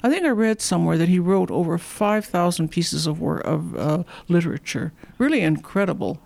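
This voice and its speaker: A woman's voice: fairly low pitch, expressive, normal speed, creaky, a little mellow. A woman who is a museum board member, leading a guided tour with enthusiastic, admiring talk.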